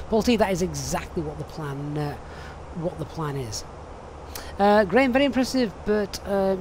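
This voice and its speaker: Male streamer, casual live commentary, lots of fillers, noisy background. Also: on the high side. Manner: chatty, reads viewer questions aloud with many fillers. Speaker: flight sim streamer.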